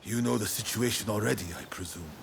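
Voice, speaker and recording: An actor playing a composed, righteous samurai strategist with a measured, authoritative manner in a video game cutscene. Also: male voice, on the low side, gravelly, a little hiss or hum. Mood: composed, businesslike.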